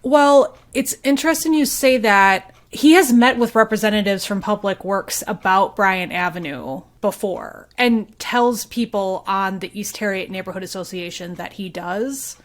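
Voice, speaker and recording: Woman, mid-pitched, local reporter chatting on a podcast; informal, animated and playful delivery. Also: measured pace, hardly any pauses. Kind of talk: casual conversational podcast discussion.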